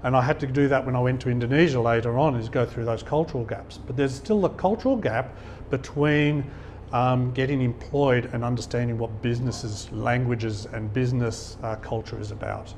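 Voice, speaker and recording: A man's voice: medium pitch, moderate pace, a little mellow, slight background noise. A middle-aged man giving an informal, conversational talk to an audience in a function hall, telling anecdotes and chuckling at his own stories. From Australia. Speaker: guest speaker at a business networking event.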